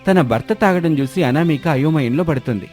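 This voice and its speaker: A man's voice: fairly high pitch, lively intonation, moderate pace, darkish tone, faint music behind. A man narrating a scripted moral story as storyteller.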